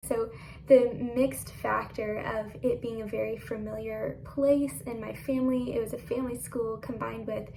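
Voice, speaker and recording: A young woman with a slightly high voice and plenty of gravelly vocal fry speaks in a composed, articulate way. She sounds set back from the mic, with background noise clearly audible. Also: far from the mic. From Boerne, Texas. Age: young adult. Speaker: student. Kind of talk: casual video chat to camera.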